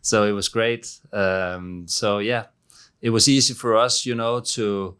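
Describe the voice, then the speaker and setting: A middle-aged man with a Danish accent, a head coach answering press questions, halting with many fillers and restarts. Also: fairly low pitch, fairly even intonation, fairly bright tone, smooth. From Denmark.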